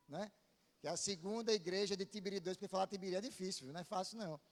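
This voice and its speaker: A man preaches in a northeastern Brazilian accent, with a voice a little higher than usual for a man. He speaks to the congregation informally, as a pastor, often tagging his statements with small questions. The sound carries the long reverb of a big hall. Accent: northeastern Brazilian, from Paraíba. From Paraíba, northeastern Brazil. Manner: informal, often seeks response with short tag questions. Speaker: visiting Baptist pastor preaching. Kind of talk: sermon to a congregation. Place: church pulpit, amplified in a large hall.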